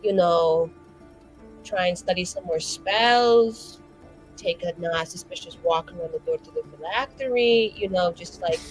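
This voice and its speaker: A woman's voice: on the low side, measured pace, soft background music, rough recording. A woman playing a tabletop role-playing game, rambling on at length about her character with frequent laughter. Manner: rambling, laughing, lively swings in tone. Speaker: player.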